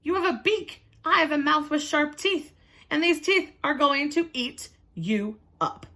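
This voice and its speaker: A woman's voice: sits high, a little slow, bright, forceful tone, voice pushed up. A woman voicing a hungry, scheming female crocodile in a read-aloud storybook, gloating as she talks. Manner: gloating, mocking laugh.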